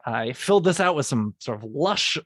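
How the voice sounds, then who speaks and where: A man's voice: medium pitch, animated, normal speed, a bit bright, no room sound, clean studio sound. A man, a music composer, explaining how he built his theme piece, partly reading and correcting himself as he goes. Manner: restarts and corrects his script lines.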